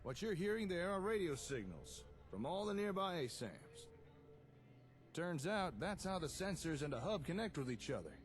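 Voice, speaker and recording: Man acting an animated, folksy tinkerer. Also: on the high side, normal speed. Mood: explaining, keen. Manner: folksy, chatty, drawn to technical explaining. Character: easygoing, enthusiastic male tinkerer in a post-apocalyptic settlement. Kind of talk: conversational video game dialogue.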